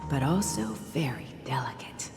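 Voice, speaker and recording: A woman voicing a gentle, patient priestess mother in a video game, speaking in a soothing, tender way. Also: a bass voice, lively intonation, moderate pace. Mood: warm, storytelling. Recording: long reverb, music behind.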